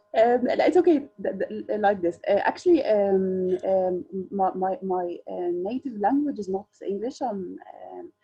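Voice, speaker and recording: A woman's voice: medium pitch, expressive, takes it slowly, warm tone, breathy edge. Hesitant woman, Arabic native speaker. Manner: hesitant, many fillers and restarts. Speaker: workshop participant. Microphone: video call. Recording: dull lo-fi call audio.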